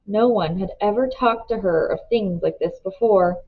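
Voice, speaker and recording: A woman narrating a novel aloud in an expressive storybook reading style. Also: medium pitch, normal speed, narrow, tinny audio.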